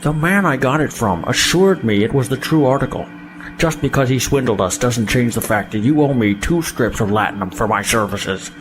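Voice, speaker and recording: An actor playing a slippery mercenary trader in an audio drama, glib and falsely courteous. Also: male voice, medium pitch, animated, moderate pace, music in the background, lightly acted, low-quality recording. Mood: glib, self-serving. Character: slippery mercenary male trader, breezy and self-serving.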